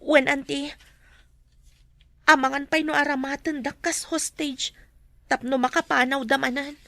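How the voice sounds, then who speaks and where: Earnest young niece, high bright voice, ilocano accent, radio drama. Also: female voice, flat delivery, a little slow, creaky, no echo, exaggerated voice. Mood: resolute. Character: earnest, wronged young woman, trusting niece.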